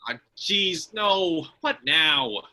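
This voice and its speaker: A man playing an anxious, easily panicked delivery driver in a comic table read, with an excitable, whiny, exasperated delivery. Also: on the high side, very slow, full character voice. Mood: alarmed.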